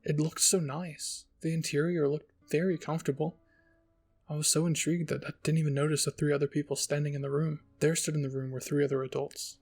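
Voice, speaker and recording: A man's voice: a little high, little pitch movement, a little fast, darkish tone, soft background music. A man narrating a first-person fan-fiction story as a roleplay reading. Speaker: story reader.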